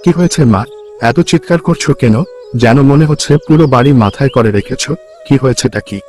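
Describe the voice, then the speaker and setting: A man's voice: mid-range voice, animated, brisk, a little mellow, faint music behind, lightly acted. Man playing a stern, questioning young farmer husband. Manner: questioning, reproachful. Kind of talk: cartoon folk-tale voice acting.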